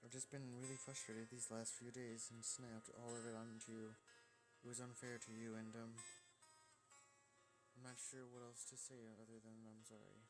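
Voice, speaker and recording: A weary young male student from a visual novel, read by a man, loyal and blunt. He speaks quietly and haltingly at a middling pitch, with music clearly behind. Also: flat delivery, lightly acted. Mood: apologetic. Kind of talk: visual novel character reading.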